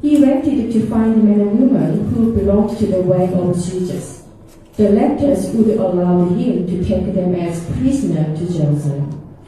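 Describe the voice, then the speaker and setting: A woman reading a Bible passage aloud in a large church hall, steady with small slips, holding a microphone. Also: medium pitch, a little slow, far from the mic, slight background noise. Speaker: Bible reader. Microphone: handheld mic, far camera. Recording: echoey hall recorded from afar.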